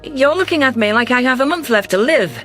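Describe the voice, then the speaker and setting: A man's voice: extremely high pitch, lively intonation, brisk, sharp tone, pitched above the performer's own voice, heavily acted. A man voicing an anxious, exasperated female alien governor in an audio story. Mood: wary, indignant. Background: faint music.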